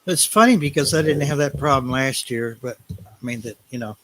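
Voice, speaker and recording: A man joins a livestream as a guest over a video call. He talks in a laid-back way and pokes fun at himself now and then, with a voice of ordinary pitch. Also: moderate pace, a bit bright. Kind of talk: casual video call chat. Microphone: computer mic on a video call.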